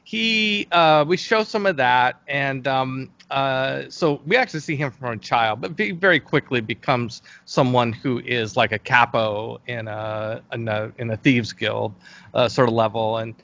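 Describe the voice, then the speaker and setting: A man's voice: mid-range voice, unhurried, creaky, a bit bright. A man giving a casual interview as a guest, explaining his creative work in a hesitant, thinking-aloud way. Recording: dull, lo-fi call audio.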